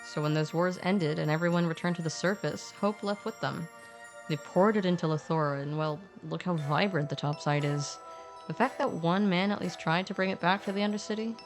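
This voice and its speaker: A woman's voice: on the low side, brisk. A woman narrating a scripted fantasy story podcast, with conversational asides. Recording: background music under voice.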